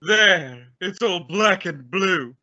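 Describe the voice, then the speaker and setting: Man playing a shrill, flustered, pompous school principal. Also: expressive, takes it slowly, sharp tone, full character voice. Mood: grumbling. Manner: pompous, stammering, indignant. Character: flustered, blustering, dizzy male school principal. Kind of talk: old-time radio comedy acting. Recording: dull lo-fi recording.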